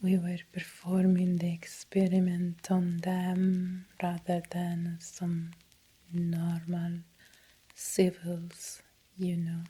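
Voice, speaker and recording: A woman with an Italian accent playing an uneasy doctor confessing in a breathy, halting ASMR roleplay whisper. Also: lowish voice, monotone, dark tone. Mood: hesitant, defensive. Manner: slow, halting, hesitant. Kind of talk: soft-spoken ASMR roleplay.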